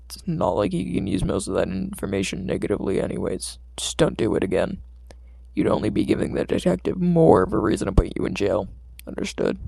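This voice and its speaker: A woman's voice: very deep voice, very animated, brisk, vocal fry, mellow, husky, dry recording, voice pushed down, heavily acted. A woman voicing a stern, curt male underground hero in an audiobook story. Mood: stern warning. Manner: curt, admonishing.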